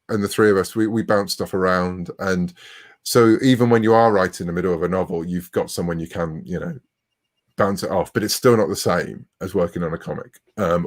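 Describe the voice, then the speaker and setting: A man in his forties speaking conversationally as a writer being interviewed. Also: sits low. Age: 40s. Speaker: interviewed writer of comics and novels.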